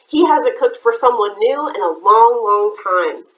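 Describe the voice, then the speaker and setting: Cackling storybook hen as a woman reads her, high squawky voice, roomy echo. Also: expressive, unhurried. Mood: cheery. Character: cackling talkative hen, magic, female. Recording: roomy echoey room.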